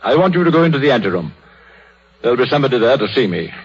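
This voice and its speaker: Husky old British minister, solemn and fatherly. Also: mid-range voice, very animated. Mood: gentle. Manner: measured, solemn, preaching gently. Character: crippled old minister, gentle, philosophical, fatherly. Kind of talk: 1930s radio drama acting. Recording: dull, muffled 1930s radio recording.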